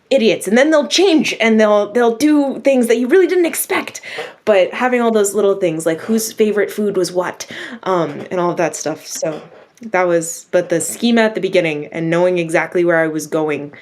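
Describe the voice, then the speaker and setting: A woman's voice: lowish voice, darkish tone. A woman, the writer of a radio drama, talking casually in an interview and often laughing. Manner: chatty, laughing, acts out quoted exchanges. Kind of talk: interview conversation.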